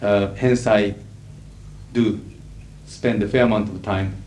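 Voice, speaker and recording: A middle-aged man with a Japanese accent, a native Japanese speaker, lecturing as an interpreter in a measured, emphatic way with frequent fillers. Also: on the low side, fairly even intonation, darkish tone. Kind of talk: lecture to an audience. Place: lecture hall. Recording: echoey 1980s hall recording with faint hiss.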